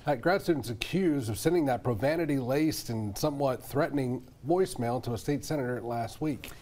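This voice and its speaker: Male TV anchor, middle-aged, dark mellow tone, medium pitch. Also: brisk. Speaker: TV news anchor. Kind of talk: scripted news reading. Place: TV studio.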